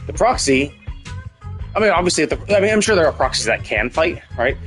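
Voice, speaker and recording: A man's voice: on the high side, very animated, fairly quick, fairly bright tone, faint music behind. A man giving casual, opinionated live game commentary, rambling and repeating himself with some casual swearing. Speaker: live streamer playing a story game.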